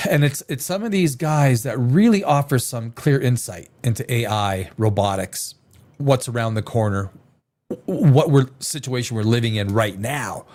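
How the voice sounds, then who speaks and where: A man's voice: medium pitch, expressive, normal speed, darkish tone. A man hosting a live reaction show, rambling and restarting his sentences, with a sniffly head cold. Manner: rambling, repeats words and restarts often.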